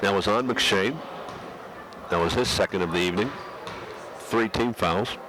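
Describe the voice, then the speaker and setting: A man with a head cold, a little nasal and hoarse, calling a high school basketball game play by play with frequent restarts. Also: a little slow. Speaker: play-by-play announcer. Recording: loud crowd and gym noise behind. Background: crowd and bouncing basketball.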